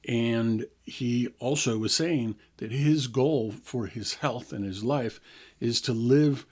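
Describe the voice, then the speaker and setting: 60-something guy on talk radio, chatty, explains stuff easy, mid-pitched voice. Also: slow pace, a little mellow. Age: 60s. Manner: chatty, explanatory, laughs easily. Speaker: radio show host.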